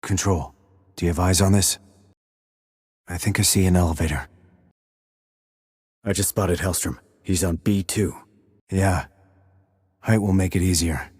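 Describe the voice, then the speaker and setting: A man playing a terse, calm military sniper in a video game, clipped and controlled, reporting in tactical radio calls. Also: unhurried, long pauses, dry recording, clean studio sound. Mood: cool, businesslike. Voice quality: low, husky. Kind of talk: tactical radio call acting.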